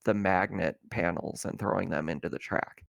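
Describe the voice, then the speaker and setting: Low-voiced man running a tabletop game. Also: fairly even intonation, normal speed, vocal fry, no room sound, clean studio sound. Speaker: game master. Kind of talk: casual tabletop roleplaying narration and chat.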